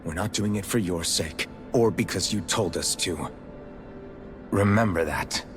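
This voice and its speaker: Blunt, defiant young hero. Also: male voice, low-pitched, measured pace, faint music behind. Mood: firm, determined. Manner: blunt, earnest, forceful. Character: stubborn, defiant young male hero, fiercely protective. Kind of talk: scripted video game voice acting.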